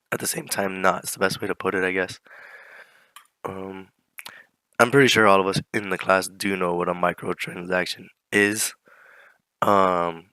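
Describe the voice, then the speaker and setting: Teenage boy, hoarse flu voice, low pitch, bright tone, dry close sound, casual student presentation. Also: no room sound, rough recording. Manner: casual, many fillers, clears throat often. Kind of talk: class presentation with slides.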